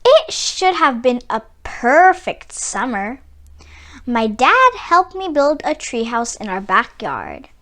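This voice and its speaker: A woman reading a children's story aloud in an expressive, theatrical way, drawing out key words for emphasis. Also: fairly high pitch, slow pace. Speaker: children's book reader.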